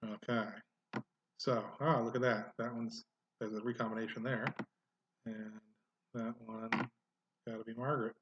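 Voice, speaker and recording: A man hosting a casual genealogy software tutorial, thinking aloud as he clicks through, with frequent "uh" and "um" fillers. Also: mid-range voice, level tone, moderate pace, pauses often, highs cut off, noisy background. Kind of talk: casual screen-recorded software walkthrough.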